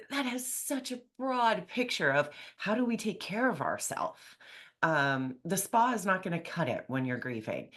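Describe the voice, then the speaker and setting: A woman hosting a podcast, talking in an excited, chatty way with many fillers. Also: deep voice. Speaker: podcast host. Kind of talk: enthusiastic podcast intro and interview.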